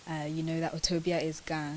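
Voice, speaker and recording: A woman in her twenties from Ghana speaks as an interview guest, answering in a casual, chatty way. Her voice is on the low side, and there is a faint hiss on the recording. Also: little pitch movement, fairly quick, a little mellow. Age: 20s. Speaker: interview guest, pageant winner. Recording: clearly hissy, noisy sound.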